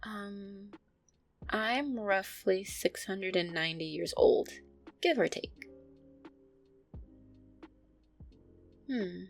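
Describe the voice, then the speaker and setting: A woman playing a gentle, anxious, affectionate vampire girlfriend in an intimate roleplay audio, speaking softly, tenderly and hesitantly. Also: medium pitch, slow pace, vocal fry. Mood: reflective. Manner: soft, tender, hesitant, sighing delivery.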